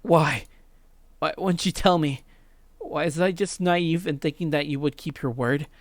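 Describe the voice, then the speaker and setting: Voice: medium pitch, a little slow, dry recording, lightly acted. A let's player voicing a desperate, accusing visual novel student, urgent and pleading. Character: desperate, accusing schoolkid Megatron, indignant and confused.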